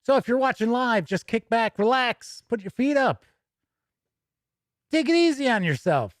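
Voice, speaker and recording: A man's voice: very high-pitched, lively intonation, normal speed, no echo, clean studio sound. A man hosting a casual live stream, chatting to viewers in a folksy, easygoing way. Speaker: host of a tabletop gaming news live stream.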